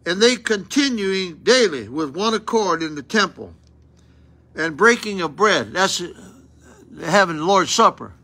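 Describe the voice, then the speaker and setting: An older man with a drawl preaches to a small church, recorded up close on a phone. His voice is high and very bright, and he throws out "huh?" and "you know what happened?" as he goes. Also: lively intonation, measured pace, husky. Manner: folksy, rhetorical questions, loud unamplified voice. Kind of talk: informal sermon with Bible reading. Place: small church room, indoors. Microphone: phone camera close up.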